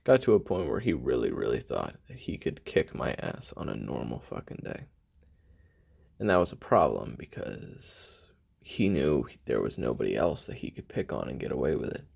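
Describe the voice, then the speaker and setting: Brooding assassin for a confessional audio drama, male actor: rock-bottom male pitch, heavy vocal fry, halting and profane delivery. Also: big pitch swings, darkish tone, heavily acted. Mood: brooding, tense. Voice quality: very deep, gravelly, husky. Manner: halting, casual, profane, with sighs and trailing pauses. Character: brooding, hot-tempered male assassin recording his memoirs. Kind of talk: audio roleplay monologue, confessional reminiscing.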